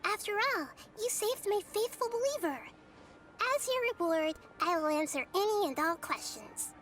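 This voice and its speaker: A woman voicing a gentle, wise, childlike girl deity in a video game, speaking in a thoughtful, slightly formal way. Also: very high-pitched, lively intonation, normal speed, slight background noise. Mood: composed, helpful.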